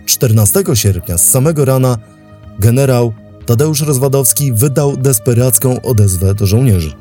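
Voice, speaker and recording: A man's voice: medium pitch, normal speed, warm tone, soft background music. A man narrating a scripted historical documentary. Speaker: host and narrator of a history podcast.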